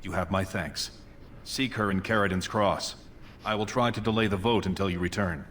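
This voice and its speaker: An actor playing a scheming, courtly dwarf prince in a video game, speaking formally and persuasively in measured phrases. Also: male voice, deep voice, steady tone, a bit bright, slight background noise. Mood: smooth, commanding. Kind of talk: video game dialogue acting.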